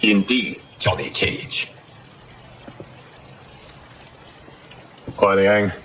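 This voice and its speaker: Stately, low-pitched fire god. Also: male voice, level tone, a bit bright, slight background noise. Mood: composed, authoritative. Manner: formal, measured, grave. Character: calm, stately male fire god, protector of realm. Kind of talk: cinematic video game acting.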